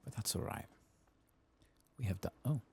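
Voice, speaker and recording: A soft-spoken man hosting an ASMR game playthrough, hushed and unhurried, sometimes slipping into whispers. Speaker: ASMR gaming host.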